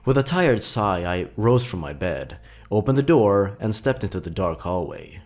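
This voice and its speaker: Low-voiced man narrating horror, dramatically animated. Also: moderate pace, faint music behind. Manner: suspenseful, theatrical delivery.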